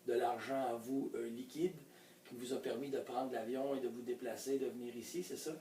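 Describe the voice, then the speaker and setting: A man's voice: medium pitch, level tone, fairly quick, dark tone. A man conducting an informal interview, feeling his way through questions in a hesitant manner. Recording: echoey room, distant mic, faint background noise.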